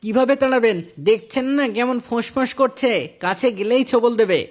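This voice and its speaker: A man playing a frightened, grumbling young village gravedigger in a cartoon tale, excitable and complaining. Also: very high-pitched, fairly quick. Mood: uneasy. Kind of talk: Islamic moral cartoon story acting.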